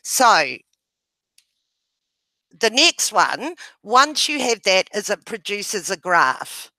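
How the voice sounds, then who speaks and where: Bright-voiced woman presenting on a video call. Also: mid-range voice, sing-song, takes it slowly, no echo, low-quality recording. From New Zealand. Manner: loud voice, gestures while talking. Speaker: webinar presenter. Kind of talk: explaining a method with slides. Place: home room, indoors. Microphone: headset on a video call.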